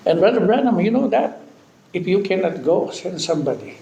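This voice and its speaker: A man's voice: high-pitched, very animated, gravelly, warm tone, highs cut off. Man in his fifties with a Filipino accent preaching in an echoey hall. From Philippines. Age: 50s. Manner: studs his talk with amen and hallelujah, halting with fillers. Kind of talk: sermon. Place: church hall. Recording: echoey church hall with faint background noise.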